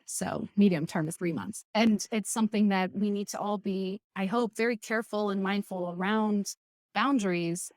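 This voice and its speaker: A woman's voice: mid-range voice, dry recording. Candid woman, a podcast guest. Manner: candid, lively, reflective. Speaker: podcast guest, community team lead. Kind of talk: casual interview conversation.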